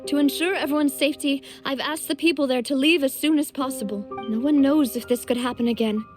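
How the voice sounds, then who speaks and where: Woman playing a high-voiced, composed young noblewoman. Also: faint music behind, lightly acted. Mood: somber. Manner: composed, formal, measured. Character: poised young noblewoman leader, grave and dutiful. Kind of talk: dramatic video game acting.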